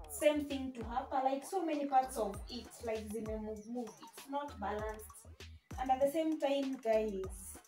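A young woman with a Kenyan accent talks casually, as if to her regular vlog viewers. Her voice sits fairly high yet has a very mellow, soft-edged tone. She speaks in an informal, conversational way. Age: young adult. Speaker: vlogger. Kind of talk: casual vlog chat, mixing English and Swahili.

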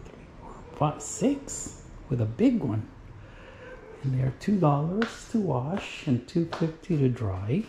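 A man's voice: fairly high pitch, mellow, poor audio. A middle-aged man giving casual, chatty travel vlog commentary, thinking aloud as he goes. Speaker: travel vlogger. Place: outdoors at campground and beach. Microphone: handheld action camera at arm's length. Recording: wind and engine noise, action camera.

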